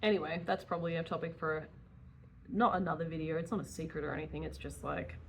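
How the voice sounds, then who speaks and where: Lower-voiced woman, casual and candid. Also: steady tone, fast talker, gravelly, a little hiss or hum. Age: early 30s. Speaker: vlogger, self-employed dog groomer. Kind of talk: casual rambling vlog to camera.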